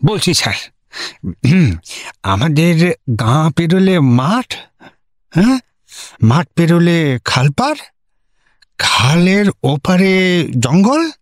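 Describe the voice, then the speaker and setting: A man's voice: fairly high pitch, big pitch swings, no room sound, studio quality. An actor playing a simple, rambling, deferential village man in a comic radio drama, with a rural West Bengal accent and a hesitant, roundabout manner. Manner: roundabout, hesitant, drawn-out rustic talk. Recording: music behind the voice.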